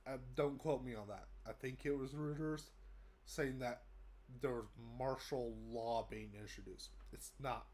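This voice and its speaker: A man's voice: mid-range voice, a little slow, a little mellow. A young American man with a cold, sounding congested, giving a casual rambling update to his viewers with lots of fillers and restarts. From United States. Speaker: vlogger. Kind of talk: casual update talk to camera.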